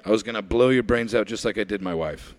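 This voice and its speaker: A man's voice: lowish voice, fast, lightly acted. A man playing a needy, menacing killer who unloads his feelings in a blunt, confessional way in an improvised comedy parody of a radio play. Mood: menacing, softened.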